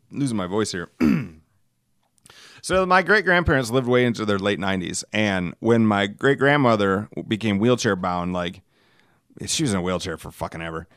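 Crisp-voiced middle-aged man rambling casually. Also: fairly low pitch, fairly bright tone, no room sound, studio quality. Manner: profane, folksy, self-deprecating humor; chuckles while telling stories. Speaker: podcast host.

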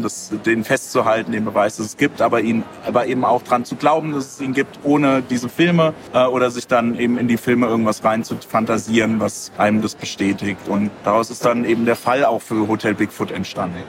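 A man chats in a casual interview as a comic creator, with a mid-pitched voice. He thinks aloud in loose, rambling clauses, over clearly audible urban background noise.

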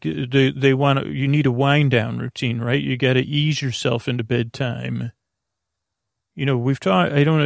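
A man's voice: mid-range voice, gravelly, dry recording, studio quality. A man hosting a bedtime podcast, rambling and meandering with lots of fillers and restarts, amused by his own tangents. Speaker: podcast host.

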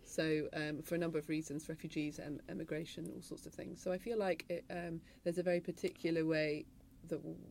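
A woman novelist talks quickly on a panel, with a gravelly voice. She hedges her points and lets her phrases fade out softly. Faint background noise runs under her voice. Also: low-pitched, flat delivery. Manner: quick, hedging, trails off softly. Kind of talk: panel discussion.

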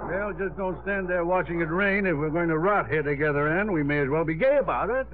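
Man playing a high-pitched, wry old father. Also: a little fast, lightly acted. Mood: wry, teasing. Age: older. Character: wry, blunt old father, a teasing grandpa. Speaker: radio drama actor. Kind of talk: 1950s radio acting. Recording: muffled, narrow-band 1950s radio broadcast.

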